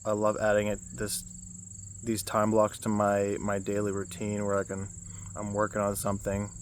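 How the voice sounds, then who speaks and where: Low-voiced young man rambling outdoors. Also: monotone, normal speed, a little hiss or hum. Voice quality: low baritone voice. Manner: rambling, with many restarts and fillers. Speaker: vlogger. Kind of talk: casual self-help vlog.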